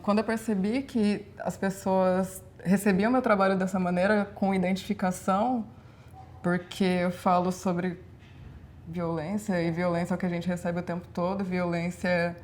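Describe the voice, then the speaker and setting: Woman in her twenties, from Brazil, flat even delivery, measured and introspective. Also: medium pitch. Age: 20s. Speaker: performance artist. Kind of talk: reflective documentary interview.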